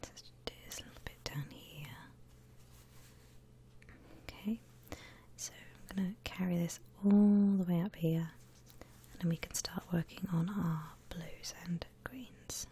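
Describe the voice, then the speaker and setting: A woman whispering breathily and gently as she hosts an unhurried ASMR art tutorial. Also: on the low side, fairly even intonation. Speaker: host of an ASMR drawing channel. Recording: faint background noise.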